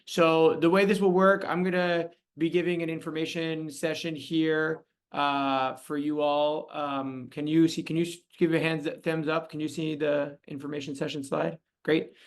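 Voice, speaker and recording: A man's voice: on the high side, level tone, moderate pace, few pauses. A man hosting an online information session for a youth program, speaking in a friendly way with many ums and uhs over a video call. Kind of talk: webinar presentation.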